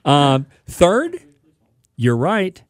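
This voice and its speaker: A man hosting a casual radio show about cars, explaining news in a relaxed, informative way with filler uhs. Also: a little high, very slow, no room sound. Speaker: radio show host, car expert.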